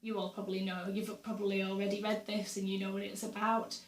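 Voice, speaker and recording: A young woman chats about her books and her week in a loose, friendly vlog style, full of ums and little laughs. Her voice is a touch hoarse from a sore throat. The sound is roomy and echoey, as if she sits some way back from the mic. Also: medium pitch, little pitch movement, fast talker. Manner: chatty, with frequent ums and uhs and little laughs. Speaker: book vlogger. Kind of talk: casual chat to camera. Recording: roomy echo, voice a bit far from the mic.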